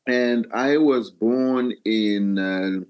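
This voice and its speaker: An older man with a Malawian accent, telling his own story as an interview guest in a deliberate, phrase-by-phrase way. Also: mid-range voice, darkish tone, clear, resonant tone. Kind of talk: reflective personal storytelling in an interview.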